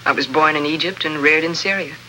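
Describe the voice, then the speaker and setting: Guarded, low-voiced woman archaeologist, bright. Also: fast, rough recording. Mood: guarded, composed. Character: guarded, businesslike female archaeologist plotting a desert revolt. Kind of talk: 1950s radio drama acting. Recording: vintage 1950s radio recording, faint noise.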